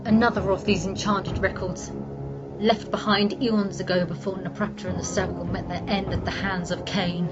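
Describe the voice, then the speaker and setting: A woman playing a trapped human alchemist, narrating her own ordeal gravely and theatrically in a fantasy audio drama. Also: medium pitch, level tone, moderate pace, lightly acted. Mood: grim dismay. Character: female human alchemist, trapped, wary and determined survivor. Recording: dull lo-fi sound, music underneath. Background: music.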